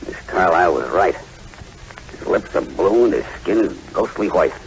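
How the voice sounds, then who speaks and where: A commanding man on a dangerous hunt in an old radio thriller. His voice is fairly low and he snaps out terse, urgent orders. The recording is muffled, with faint noise. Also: lively intonation, moderate pace, gravelly. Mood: grim. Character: tense commanding armed island man hunting a fugitive. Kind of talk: 1940s radio acting.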